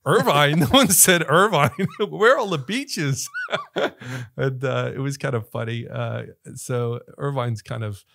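A middle-aged man from Northern Ireland with an American accent, a relaxed guest telling stories in a casual studio interview. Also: mid-range voice, measured pace, creaky, noisy background. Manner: relaxed storyteller, laughs often, gestures with hands. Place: small podcast studio. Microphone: studio mic on boom arm, headphones on.

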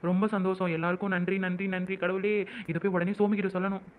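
A man's voice: high voice, flat delivery, fast talker, lightly acted. A man voicing an emotional young wife hoping she is pregnant, pleading and prayerful, in a home-made skit with a tinny phone-like sound. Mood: overjoyed. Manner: pleading, prayerful, weepy with joy.

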